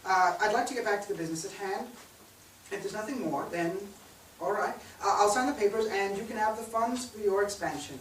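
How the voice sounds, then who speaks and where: Seasoned, cautious bank man, played by a male actor, formal and halting, distant stage recording with faint noise. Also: high-pitched, fairly bright tone. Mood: businesslike. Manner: formal, hesitant with many uhs. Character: formal, cautious male banker of long experience. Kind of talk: staged play reading. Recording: echoey stage, distant mic, background hiss.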